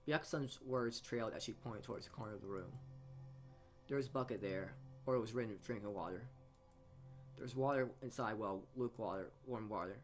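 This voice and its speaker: A man's voice: fairly low pitch, fairly quick, faint music behind. A man reading a story game aloud in informal narration, often stumbling and going back over his words. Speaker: player reading a visual novel aloud.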